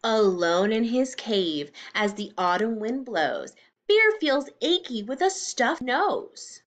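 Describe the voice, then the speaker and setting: Female children's teacher, higher-pitched voice with animated melody, instructive read-aloud delivery. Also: takes it slowly. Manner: simple, instructive, expressive phrasing for young children. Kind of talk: children's read-aloud lesson with explanations and questions.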